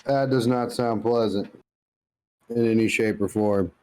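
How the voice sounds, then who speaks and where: A man's voice: on the low side, steady tone, a little slow, mellow, clean tone, poor audio. A man co-hosting a podcast, chatting casually and tossing in wry jokes. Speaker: podcast co-host. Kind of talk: casual comedic podcast chat.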